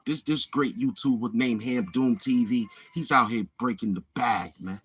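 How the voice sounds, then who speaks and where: Husky, swaggering trash-talking man. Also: medium pitch, moderate pace, fairly bright tone, soft background music. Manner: swaggering, taunting, repeats himself. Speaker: YouTube channel host. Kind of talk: boastful trash-talking livestream monologue.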